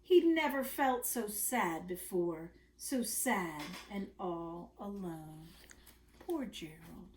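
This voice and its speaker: A woman reading a picture book aloud to small children as a story-time host, expressive and chatty with the listeners. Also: on the low side, takes it slowly, a little hiss or hum. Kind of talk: animated picture-book narration. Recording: roomy, echoing sound.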